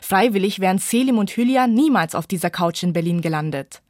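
A woman's voice: mid-range voice, expressive, fairly quick, a little mellow, dry recording, clean studio sound. A woman reporter reading a scripted radio book review feature.

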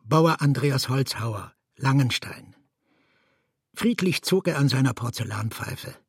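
A man reading scripted literary documentary narration, calm and matter-of-fact. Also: mid-range voice, a little slow, husky, no room sound, studio quality. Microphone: close studio microphone, dry.

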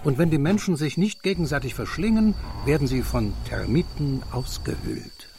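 A man narrating a scripted radio feature with a lively, slightly wry delivery. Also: fairly high pitch, moderate pace, slight background noise. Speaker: documentary narrator.

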